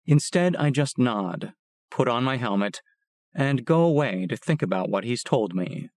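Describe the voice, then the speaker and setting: Steady male audiobook narrator, dry sound. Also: mid-range voice, measured pace, no room sound. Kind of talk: first-person audiobook narration.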